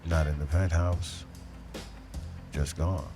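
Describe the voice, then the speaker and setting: A hardboiled detective acted by a man narrates a noir audio drama in an extremely low voice. He is brooding, measured and deadpan. Prominent music plays behind him.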